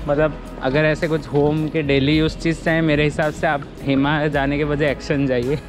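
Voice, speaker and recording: A man's voice: on the high side, flat delivery, moderate pace, music in the background. Curious man on a street walk. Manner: curious, asks many questions. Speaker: vlogger. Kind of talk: casual walking-tour chat. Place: outdoor city shopping street.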